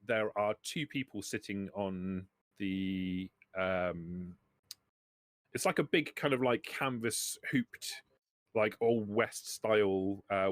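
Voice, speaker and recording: A man narrating a tabletop game as game master, haltingly, often repeating words as he thinks. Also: deep voice, no echo.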